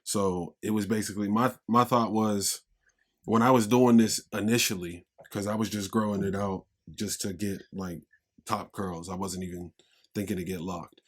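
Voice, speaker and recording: Young male podcast host from Pittsburgh, energetic and slangy, low voice. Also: normal speed. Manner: upbeat, slangy, hype greetings. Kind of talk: casual interview chat.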